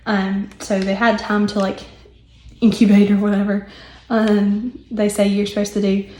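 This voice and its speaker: Young woman, lilt, hoarse, rambling and chatty; roomy echo. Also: mid-range voice, little pitch movement, moderate pace, dark tone. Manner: chatty with many ums and asides. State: hoarse, recovering lost voice. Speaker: budgeting vlogger. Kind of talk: casual chat while working on paper.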